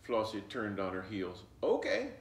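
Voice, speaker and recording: A man reading a storybook aloud to children in an animated, expressive way. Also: mid-range voice, unhurried. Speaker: storybook reader. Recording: roomy, echoing, distant microphone.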